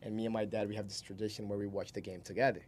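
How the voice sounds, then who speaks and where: Young guy on a podcast, lowish voice, rambling casual stories, bit of background noise. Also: flat delivery, racing, a little mellow. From Brazil. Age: 20s. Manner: informal, rambling, chuckles while talking. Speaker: podcast guest, solar salesman. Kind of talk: casual storytelling in an interview.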